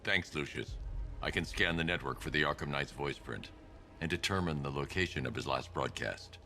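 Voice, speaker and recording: An actor playing a grim, terse masked vigilante hero in a video game, speaking in a clipped, serious way. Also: male voice, a bass voice, moderate pace, pushed and bright, full character voice. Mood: grim, focused. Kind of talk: video game cutscene acting.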